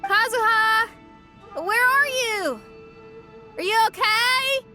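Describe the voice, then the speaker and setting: Bright, high-pitched little companion. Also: female voice, slow, soft background music, exaggerated voice. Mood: concerned. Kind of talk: anime-style video game voice acting.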